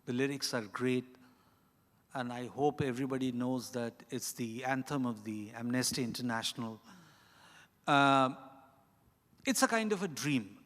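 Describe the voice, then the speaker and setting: A middle-aged man with an Indian accent, an author giving reflective, deliberate, halting answers with emphasis on key words. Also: medium pitch, fairly bright tone. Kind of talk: reflective spoken answer at a live literary event. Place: large indoor event hall. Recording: echoey hall with long reverb.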